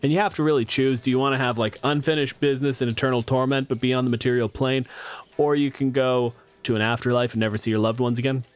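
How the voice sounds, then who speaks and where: A man's voice: mid-range voice, fairly even intonation, fast, clean tone. Casual, wry man running a tabletop game. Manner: conversational, wry, profane. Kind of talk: improvised casual game narration.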